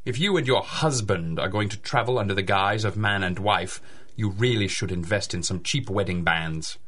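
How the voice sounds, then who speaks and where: A man's voice: on the low side, moderate pace, fairly bright tone. A man playing a smug, threatening scientist in a 1930s-style radio drama, with a sly, insinuating delivery. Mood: menacing. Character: smug, threatening male laboratory scientist, a suspicious rival.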